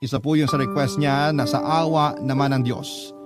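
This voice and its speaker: A man's voice: medium pitch, steady tone, moderate pace. A man narrating a scripted first-person letter for a Filipino radio drama, earnest and sentimental. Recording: clear music bed under narration.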